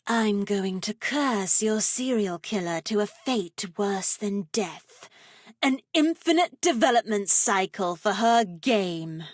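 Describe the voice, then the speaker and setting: A seductive dark sorceress for a video game, speaking at a leisurely, savoring pace. She is teasing and theatrical, with a wicked streak and a tendency toward breathy softness. The sound is close and dry. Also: mid-range voice, animated, takes it slowly, dry recording. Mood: amused, mischievous. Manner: sultry, teasing, theatrical, slips into breathy whispers. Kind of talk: video game character voice lines.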